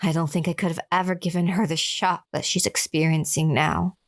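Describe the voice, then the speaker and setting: A woman's voice: sits low, steady tone, a little fast. A woman playing a dying young woman who wistfully narrates her last moments in a horror radio drama. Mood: wistful, fading. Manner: wistful, literary storytelling.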